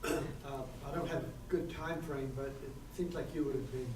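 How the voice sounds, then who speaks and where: A man in the audience asking the author a question, quietly and tentatively. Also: fairly high pitch, fairly even intonation, moderate pace, noisy background. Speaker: audience member. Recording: distant voice in an echoey room.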